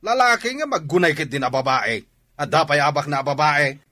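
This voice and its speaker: High-pitched, sharp-voiced angry father. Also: animated, fairly quick. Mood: angry, exasperated. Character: stern, angry father disapproving of his child. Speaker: radio drama actor. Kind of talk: Ilocano radio drama acting.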